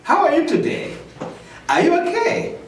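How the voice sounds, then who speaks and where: Lively Rwandan man teaching English. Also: very high-pitched, a little hiss or hum. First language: Kinyarwanda. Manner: slow, careful explaining, lively rising questions. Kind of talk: bilingual language lesson. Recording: echoey room, mic at a distance.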